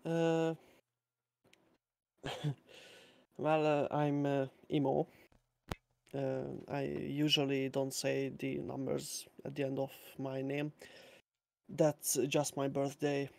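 Young man from Hungary, non-native English, halting and full of fillers; flat, gravelly delivery. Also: mid-range voice. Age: young adult. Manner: slow and hesitant, many uh fillers, nervous laughs. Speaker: guest musician. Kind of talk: casual online interview. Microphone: home mic with external sound card.

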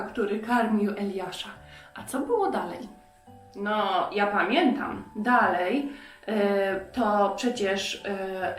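A woman teaching an interactive Bible lesson to young children, expressive, asking questions and answering them herself. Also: medium pitch, roomy sound.

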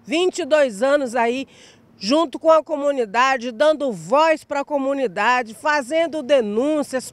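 A woman's voice: high voice, dry recording. A Brazilian woman in her thirties giving an upbeat live TV field report on a handheld mic in an outdoor square, stretching out key words for emphasis. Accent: Brazilian Portuguese. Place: outdoor public square in sunshine.

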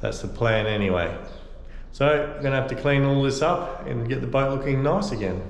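Relaxed man vlogging in an echoey boat hull. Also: mid-range voice, normal speed. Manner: relaxed, rambling, with fillers. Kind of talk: casual show-and-tell narration. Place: on a steel boat under restoration, outdoors. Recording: echoey with long reverb, faint noise.

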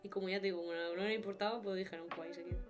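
A young woman from with a cold, chatting and laughing often on a video call. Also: medium pitch. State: has a cold. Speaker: podcast co-host. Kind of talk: casual chat. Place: home room, indoors. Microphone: webcam on a video call.

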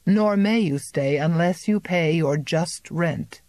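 A reader voicing a calm, pleasant yet condescending young male landowner. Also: medium pitch, slow, dry recording. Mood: cool.

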